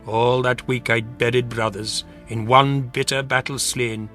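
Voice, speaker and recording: A man giving a solemn, measured dramatic recitation of narrative verse. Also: medium pitch, little pitch movement, bright, forceful tone. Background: music.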